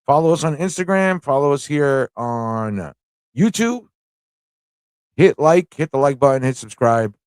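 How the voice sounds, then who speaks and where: A man hosts a livestream in an informal, off-the-cuff style. His voice sits at a middle pitch and he rambles, thinking aloud and repeating himself. The sound is close and dry, with very little room in it. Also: expressive, unhurried, darkish tone, clear, resonant tone, no room sound. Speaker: livestream show host. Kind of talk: casual rambling livestream chat and commentary.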